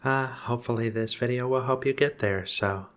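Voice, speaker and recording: A man giving soft-spoken channel announcements as an ASMR host, in a gentle, halting way. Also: mid-range voice, slight background noise. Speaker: ASMR video creator and host.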